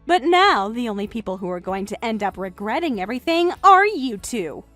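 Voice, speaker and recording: A woman's voice: fairly high pitch, sing-song, moderate pace, a bit bright, no room sound, soft background music. A woman voicing a mocking, self-assured jilted young woman in a melodramatic story, sarcastic and condescending. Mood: smug, bitter. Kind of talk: melodramatic narrated story dialogue.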